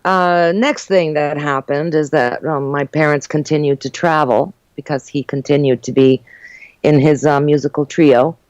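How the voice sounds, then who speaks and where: A woman's voice: low-pitched, steady tone, normal speed, dry recording. Older woman on a muffled call. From Uruguay, raised in New Jersey and New York. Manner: chatty, warm storytelling with frequent fillers and laughs. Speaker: guest. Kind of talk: casual interview chat.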